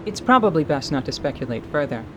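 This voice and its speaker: A woman voicing a wry, commanding female mercenary leader in radio theatre, deliberate and deadpan. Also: sits low, noisy background. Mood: wry, chiding. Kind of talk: radio theatre character acting.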